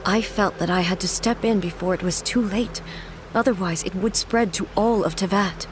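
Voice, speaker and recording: A woman playing a regal, immortal shogun in a video game, formal and measured, grieving yet composed. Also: mid-range voice, music in the background, natural voice, low-quality recording. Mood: grave.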